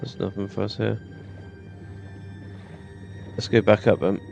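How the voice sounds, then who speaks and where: A British man with a low, heavily gravelly voice talks through a video game. He muses aloud casually, often asking himself questions, with faint music behind him. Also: flat delivery, measured pace, a little mellow, dull audio. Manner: musing, thinking aloud in questions. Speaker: gamer, let's play host. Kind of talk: casual gameplay commentary. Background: faint game music and sounds.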